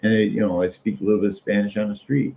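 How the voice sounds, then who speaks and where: Middle-aged American man, folksy and opinionated casual talker with a gravelly fry, on muffled lo-fi audio. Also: on the low side, little pitch movement, very fast. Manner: folksy, opinionated, repeats phrases for emphasis. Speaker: street artist. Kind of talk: casual rambling monologue. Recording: muffled lo-fi audio with faint noise.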